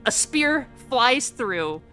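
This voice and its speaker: A woman's voice: fairly high pitch, big pitch swings, extremely slow, bright, forceful tone, faint music behind. A woman speaking informally as game master of a tabletop roleplaying game, chatting and narrating casually.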